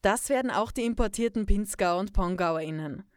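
A woman's voice: mid-range voice, fairly quick. A woman reading scripted narration for a report as its reporter.

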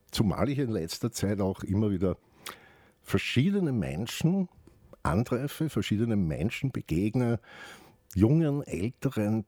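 A man hosting a radio show, explaining things off the cuff in a halting, reflective way with asides. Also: on the low side, mellow, breathy edge, dry recording, clean studio sound. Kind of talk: unscripted spoken radio commentary with explanations.

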